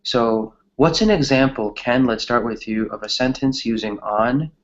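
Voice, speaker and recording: A man's voice: medium pitch, measured pace, muffled recording. A man teaching a conversational English grammar class, often checking in with a questioning tag as he explains.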